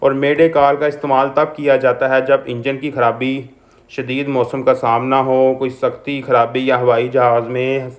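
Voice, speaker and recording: A man's voice: medium pitch, level tone, moderate pace. A man presenting an informal explainer, often rephrasing and repeating his points. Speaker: presenter explaining a news topic.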